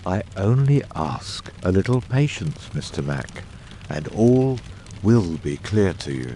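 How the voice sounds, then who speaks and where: A man voicing a grave, theatrical, masterful detective in a dramatised audiobook, with measured, eloquent and commanding delivery. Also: sits low, very animated, dark tone, slight background noise, full character voice.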